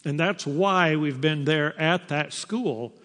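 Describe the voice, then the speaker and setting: An older man, a missionary teacher, giving a slow, unhurried personal testimony to a church. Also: on the high side, fairly bright tone. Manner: slow, unhurried storytelling with pauses. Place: church sanctuary. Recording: long reverb of a large hall.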